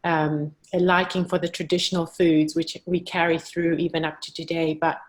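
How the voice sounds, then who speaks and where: A woman from Zambia, a guest on a video call, speaking thoughtfully and pausing to search for words. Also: low-pitched, level tone. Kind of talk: casual live-stream conversation.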